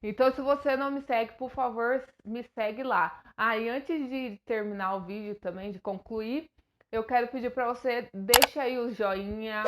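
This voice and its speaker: A woman's voice: fairly high pitch, heavy background noise. A young Brazilian woman with a Brazilian Portuguese accent, talking straight to her viewers in an earnest, hesitant way, with many fillers and restarts. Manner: earnest, emotional. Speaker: YouTuber. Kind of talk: personal vlog to camera.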